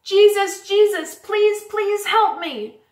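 A woman's voice: sits high, expressive, roomy sound, pitched above the performer's own voice. A woman storyteller voicing a blind man who pleads earnestly for help in a children's Bible story. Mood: desperate pleading. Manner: loud, drawn-out, earnest pleading.

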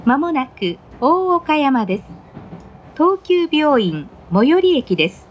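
High-pitched, formal woman train announcer. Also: very animated, slow pace, lo-fi sound. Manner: formal, polite. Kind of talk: scripted railway announcement. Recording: noisy onboard train recording. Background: train running noise.

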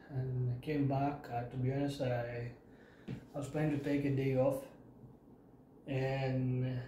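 A man's voice: a little high, breathy edge, poor audio. A man in his thirties, a fitness vlogger, talking casually and tiredly about his home workout, with fillers and restarts. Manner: relaxed, plain-spoken, many fillers and restarts. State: tired from little sleep. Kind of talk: casual narration over workout clips. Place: small room at home, indoors.

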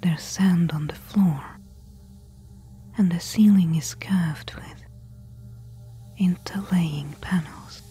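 A woman playing a careful, eager temple explorer in a soft-spoken ASMR audio roleplay, hushed and deliberate. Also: on the low side, soft background music. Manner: hushed, slow, deliberate whispering.

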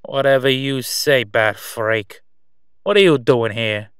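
A man plays a cocky gangster boss in an audio drama. He sneers through folksy, wisecracking patter in a clean, smooth voice, recorded dry and close. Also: mid-range voice, slow pace, fairly bright tone, no room sound. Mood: defensive, sneering.

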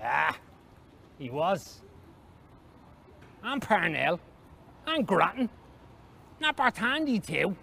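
A man voicing a flustered, slightly cross Irish uncle, an old soldier explaining haltingly in a story reading. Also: high voice, big pitch swings, vocal fry, bright, forceful tone, husky, exaggerated voice. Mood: matter-of-fact.

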